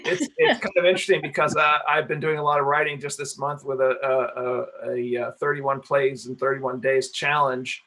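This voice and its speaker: A man with a bright, forceful voice at an ordinary pitch. He talks casually as a writer in a group discussion. His delivery is halting, with words often repeated as he goes. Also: steady tone, slight background noise, low-quality recording. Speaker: radio play writer.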